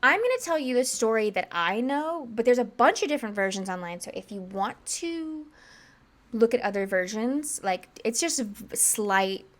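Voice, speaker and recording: A woman's voice: a little high, lively intonation, normal speed, a bit bright. A woman co-hosting a podcast, chatting casually and a bit rambling, slightly congested. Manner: chatty and rambling, many fillers. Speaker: podcast co-host.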